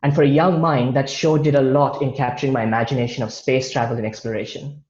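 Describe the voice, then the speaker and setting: A man gives an online presentation over slides, speaking in a measured way from notes with occasional restarts. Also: mid-range voice, fairly even intonation, a little mellow. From India. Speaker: guest speaker. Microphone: video call. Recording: roomy, dull video-call audio.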